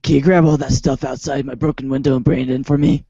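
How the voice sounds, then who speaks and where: A performer playing a bemused young kid in a fan script reading, casual and deadpan. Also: mid-range voice, fast, gravelly, slightly rough, no room sound, muffled recording.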